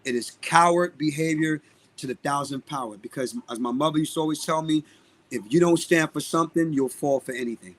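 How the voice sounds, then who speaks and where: A man with a Brooklyn accent talks in a podcast interview. His voice sits a bit on the high side. He is outspoken and emphatic, hammering points home by repeating phrases. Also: a little fast. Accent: Brooklyn, New York. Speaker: guest, singer and music producer. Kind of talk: live podcast interview talk.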